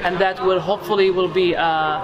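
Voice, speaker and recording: A young man from Saudi Arabia, a PhD student, explaining his poster hesitantly with many pauses. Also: high-pitched, level tone, muffled recording. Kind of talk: conference poster interview. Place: busy conference poster hall. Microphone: handheld camera mic at close range. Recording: loud crowd chatter behind.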